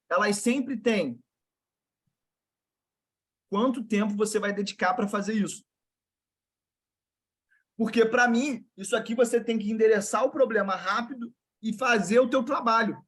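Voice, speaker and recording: A man with a Carioca accent runs a live video call for his investment students. His voice sits high for a man. He talks in a brotherly, slangy way, cheering people on. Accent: Carioca Portuguese.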